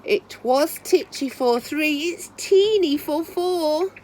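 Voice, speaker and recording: A woman's voice: sits high, lively intonation, slow, darkish tone, slight background noise, voice pushed up. A woman voicing a grumbling, frazzled little old lady from a storybook, plaintive, exclaiming and theatrical. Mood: exasperated, incredulous. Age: older. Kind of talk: storybook read-aloud character voice.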